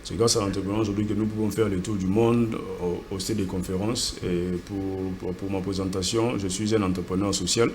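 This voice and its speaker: A man from Congo with a fairly low voice speaks as a guest entrepreneur in a TV studio interview. He talks earnestly and often repeats words while he searches for the next one. A faint ambient hum sits behind him. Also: steady tone, fairly quick, hardly any pauses, a little mellow.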